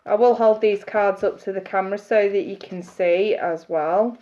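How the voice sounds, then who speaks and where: A woman's voice: medium pitch, normal speed. A woman reading tarot on a live stream, chatty and congested from hay fever, greeting viewers by name. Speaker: tarot reader. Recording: muffled audio with steady hiss.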